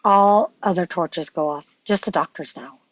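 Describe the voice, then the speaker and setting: A woman's voice: lowish voice, moderate pace. A woman reading stage directions as dramatic scripted narration at a table read. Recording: dull, dry lo-fi microphone.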